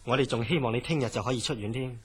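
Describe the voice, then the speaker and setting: An actor playing a calm, reassuring hospital director in a horror radio drama, measured and husky, with a Hong Kong Cantonese accent. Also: male voice, medium pitch, close to an everyday voice. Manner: measured, placating.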